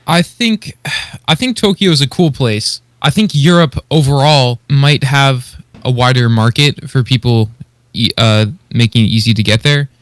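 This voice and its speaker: A man's voice: mid-range voice, normal speed, no echo. A man chatting casually as a guest on a podcast.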